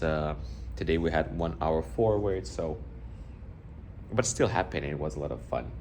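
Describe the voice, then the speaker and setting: A man's voice: rumbling low, darkish tone, slight background noise. A man with a Ukrainian accent, chatting casually through a vlog while working on a cruise ship. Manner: chatty, slightly broken English, upbeat. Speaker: assistant cruise director vlogging. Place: on a cruise ship, often on open deck. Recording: music playing behind the voice.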